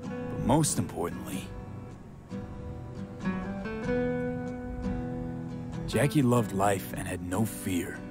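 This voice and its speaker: An actor playing a grieving, loyal young street mercenary in a video game, speaking in measured, plain, slangy phrases. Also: male voice, deep voice, expressive, vocal fry, heavily acted. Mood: solemn, fond. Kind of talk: cinematic video game acting. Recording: music behind the voice. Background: plucked guitar music.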